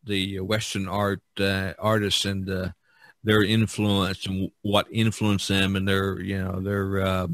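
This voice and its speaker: A man from Indiana with an American accent, hosting a casual podcast chat in a halting way, often pausing mid-thought. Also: low-pitched, monotone, a bit bright, no echo. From Indiana, USA. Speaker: podcast host.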